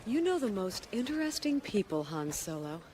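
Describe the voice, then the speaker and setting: An actress playing a feisty, sceptical princess with a spirited, dry manner in an audio drama. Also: female voice, mid-range voice, very animated, normal speed, a little mellow, a little hiss or hum, lightly acted. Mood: curious, dry. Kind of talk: space-opera audio drama acting.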